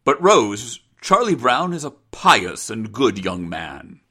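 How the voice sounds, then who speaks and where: A man's voice: mid-range voice, big pitch swings, takes it slowly, sharp tone, full character voice. A man voicing a stern, insistent father who speaks in firm, formal, old-fashioned language. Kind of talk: dramatized reading of an old sentimental tale.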